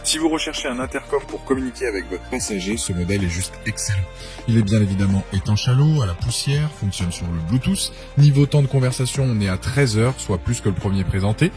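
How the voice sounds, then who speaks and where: A man narrates a scripted buying guide as a product reviewer. His voice sits on the low side and he moves through the script at a fast clip. Faint music plays behind him. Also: lively intonation. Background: background music.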